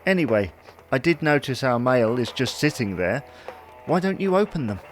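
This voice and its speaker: Man acting a lively, hapless British husband. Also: mid-range voice, moderate pace, faint music behind, lightly acted. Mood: teasing. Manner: mild, querying, gently defensive. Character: easygoing, slightly hapless husband, teasingly defensive. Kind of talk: audio sitcom acting, domestic dialogue.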